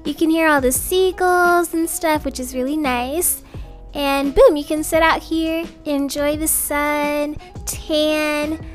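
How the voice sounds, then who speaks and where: A woman's voice: high-pitched, steady tone, slow pace, soft background music. A woman playing an upbeat, chatty young mother in an improvised family roleplay, casual, reassuring and gushing. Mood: admiring.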